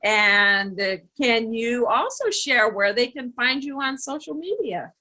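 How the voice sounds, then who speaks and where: Woman, children's host, slow, animated pitch, bright voice. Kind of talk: interviewing and addressing young children.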